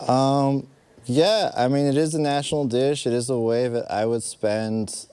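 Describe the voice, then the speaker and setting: Mellow, smooth-voiced Bay Area man. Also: medium pitch, steady tone, measured pace. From San Francisco Bay Area. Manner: easygoing, enthusiastic, loosely worded. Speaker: filmmaker and panel guest. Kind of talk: live panel conversation.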